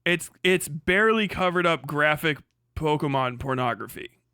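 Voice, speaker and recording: Bright-voiced sardonic streamer guy. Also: a little high, lively intonation, moderate pace, smooth, no echo, studio quality. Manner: sardonic, swearing, laughing often, incredulous outbursts. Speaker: streamer host. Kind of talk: casual live-stream commentary.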